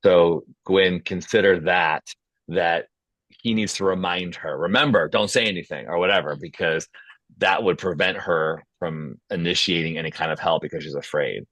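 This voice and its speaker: A man's voice: low voice, normal speed, fairly bright tone, dry recording. A man moderating a casual script workshop and giving notes, on somewhat lo-fi audio. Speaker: discussion moderator.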